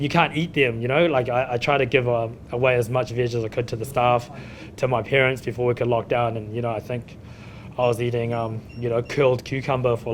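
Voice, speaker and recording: A man in his thirties with a New Zealand accent and a mid-pitched voice talks informally, as in an interview. He peppers his conversational account with "you know". There is some rumbling noise around him. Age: 30s. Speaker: chef and restaurant owner being interviewed.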